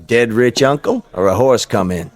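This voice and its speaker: An actor playing a sardonic American bartender in a vintage radio drama, slangy and dryly teasing. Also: male voice, medium pitch, animated, normal speed, close to an everyday voice. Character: wisecracking, sardonic bartender at a neighborhood joint.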